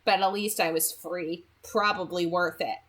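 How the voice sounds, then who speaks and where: A woman's voice: lowish voice, fairly even intonation, normal speed, a bit bright. A woman reading a novel aloud as a hobby audiobook narrator, telling the story expressively.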